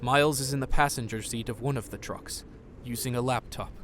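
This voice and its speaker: A man's voice: medium pitch, fairly even intonation, brisk, noisy background. A man reading scripted narration as the narrator of an audio drama.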